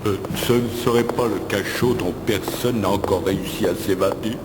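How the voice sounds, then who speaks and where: A man's voice: on the low side, fairly even intonation, moderate pace, close to an everyday voice. Man playing a worried henchman in echoey noise. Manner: whimpering, hesitant, worried. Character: dim, cowardly male henchman of a cartoon villain. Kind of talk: French cartoon dubbing. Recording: roomy echo, loud background noise.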